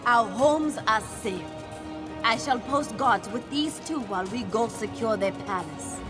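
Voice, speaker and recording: A proud, fierce woman warrior with a high voice and formal, resolute delivery. She speaks as a game character over a prominent score. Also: expressive, unhurried, over music. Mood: relieved. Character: proud, fierce female warrior defending her African homeland. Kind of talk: video game cutscene acting.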